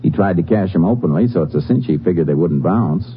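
An actor playing a calm, methodical Texas Ranger who investigates and narrates in a terse, probing manner in a 1950s radio drama. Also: male voice, low-pitched, quick pace, soft background music. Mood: matter-of-fact. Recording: muffled old radio recording.

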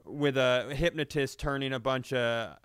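A man telling comedic stories in casual, animated, profane banter, often acting out quotes as a comedy podcast host. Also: mid-range voice, a little slow, clear, resonant tone, no room sound, clean studio sound.

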